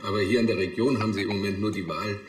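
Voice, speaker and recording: An actor playing a calm, businesslike doctor, reassuring and matter-of-fact. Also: male voice, fairly low pitch, racing, lightly acted. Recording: echoey room, clear noise, clicks.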